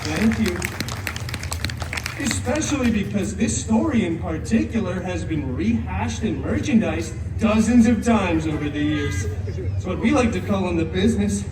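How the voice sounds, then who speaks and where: A man's voice: high voice, animated, a crowd in the background. A man playing a wisecracking, teasing antihero who tells a story with mock drama in a live comedy show. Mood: playful teasing. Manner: mock-dramatic, chatty, teasing, drawn-out delivery. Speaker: costumed theme park character performer. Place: theme park live show, heard from audience. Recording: echoey distant park show with music.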